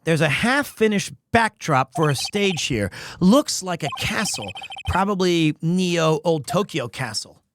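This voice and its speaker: A man's voice: on the high side, animated, measured pace, few pauses, a bit bright, a little hiss or hum. A man voicing a dry-witted, easily exasperated young male defense lawyer, reading video game dialogue and inner monologue in a matter-of-fact way. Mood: observant.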